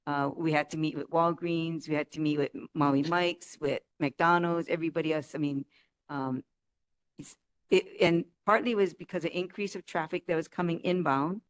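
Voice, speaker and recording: A woman chairing a neighborhood board meeting, brisk as she directs the speakers. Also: sits low, fairly even intonation. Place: community meeting room.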